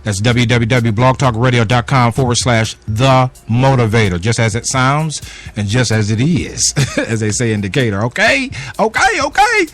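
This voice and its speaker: A man's voice: medium pitch, big pitch swings, normal speed, a bit bright, faint music behind. A man hosting a radio interview show, speaking in a sing-song, preacherly way with effusive introductions.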